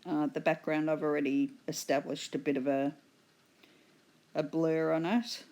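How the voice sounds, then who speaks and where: A woman with an Australian accent, hoarse from a cold, patiently explaining her painting steps in a tutorial voice-over. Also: sits low, little pitch movement, darkish tone. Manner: slow, patient explaining with fillers. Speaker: art instructor.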